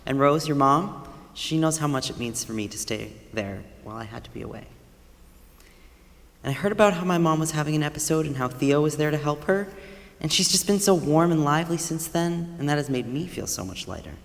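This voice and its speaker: A friendly, grateful neighbor in a stage drama played by a woman, chatty and earnest, with a warm manner. The voice carries in a reverberant hall. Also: deep voice, lively intonation, a little fast. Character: Clark, friendly, grateful neighbor with an aging mother. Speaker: actor. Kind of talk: staged reading of a musical. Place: high school theater stage.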